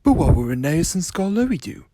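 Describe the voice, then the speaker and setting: A man's voice: fairly high pitch, big pitch swings, moderate pace, mellow, dry recording, studio quality, voice pushed up. A man voicing a polite, earnest little male diesel engine in a children's storybook reading. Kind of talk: storybook character voice.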